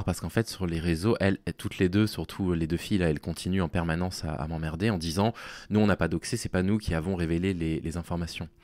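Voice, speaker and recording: A man speaking informally to his online audience in a halting, hesitant way, with a worn-out, small, tired voice. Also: low-pitched, a little mellow, no room sound. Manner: halting, hesitant delivery with long pauses. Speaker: online video creator. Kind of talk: informal talking to audience, explaining personal situation.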